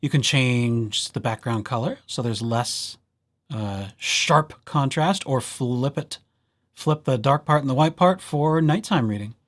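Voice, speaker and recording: A man giving a casual software walkthrough as a librarian, in a playful, wry and chatty way. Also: mid-range voice, unhurried. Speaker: librarian presenting a tutorial series.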